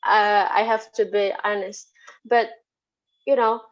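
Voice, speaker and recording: Slow, halting Indonesian woman being interviewed. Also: medium pitch, little pitch movement. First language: Sundanese. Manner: hesitant, halting, repeats words. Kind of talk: casual interview answers. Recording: dull, lo-fi audio.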